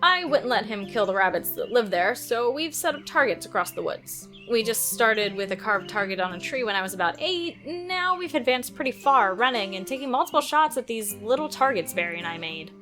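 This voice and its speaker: A woman's voice: fairly high pitch, fairly quick, few pauses. A woman playing a sardonic, defiant teenage girl archer who narrates and speaks in an audio drama, expressively. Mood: reflective. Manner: sarcastic, expressive. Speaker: narrator and lead character. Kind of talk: first-person audio drama narration and dialogue. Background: music.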